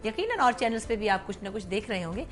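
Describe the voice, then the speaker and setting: A woman's voice: medium pitch, very animated, fast talker. A woman hosting a festive television chat show, chatty and playful, laughing often between lines. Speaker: talk show host. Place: TV studio.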